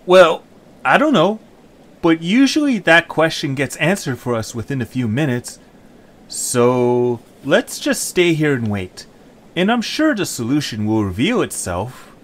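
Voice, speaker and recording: A man's voice: a little high, sing-song, measured pace, pitched above the performer's own voice. A man playing an earnest, exasperated young leader in a fantasy audio adventure. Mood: defensive, hesitant.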